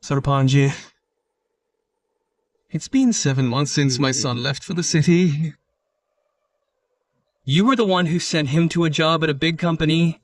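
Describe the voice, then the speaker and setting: A man voicing a poor, frail old farmer who pleads fearfully in an animated story. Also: on the high side, measured pace, long pauses, recorded from a distance, lightly acted. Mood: pleading, scared.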